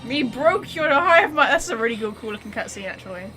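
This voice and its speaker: An excitable woman gamer with a high, bright voice. She comments casually on the game in slangy exclamations, with faint music behind her. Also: brisk. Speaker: gamer reacting to game cutscenes.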